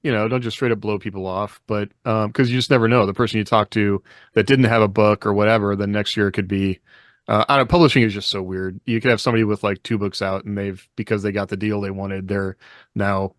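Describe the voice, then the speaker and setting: Low-voiced easygoing man, dry close sound. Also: fairly even intonation, fast talker, dry recording. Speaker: podcast co-host and author. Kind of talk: casual podcast chat.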